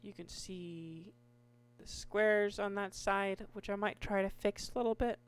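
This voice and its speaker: Slow, hoarse woman narrating her gameplay. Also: mid-range voice, steady tone. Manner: slow, meandering, with many restarts and fillers. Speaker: Minecraft YouTuber. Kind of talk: casual gameplay commentary.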